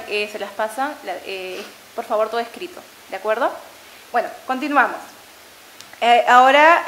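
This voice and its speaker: A woman moderating a university panel, giving instructions and introductions in a halting way with many fillers. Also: a little high, a bit bright, a little hiss or hum, rough recording.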